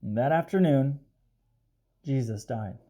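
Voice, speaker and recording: A man reading a storybook aloud for children in an explanatory way, pausing to question his young listeners. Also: medium pitch, noisy background. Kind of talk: expressive children's read-aloud with asides.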